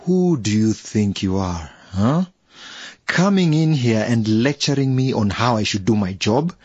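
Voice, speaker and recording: An actor playing a brusque, condescending older electoral commissioner in a radio drama. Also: male voice, medium pitch, expressive, measured pace, a little mellow, breathy edge, dry recording, lo-fi sound. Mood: indignant, scornful. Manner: condescending, curt, officious.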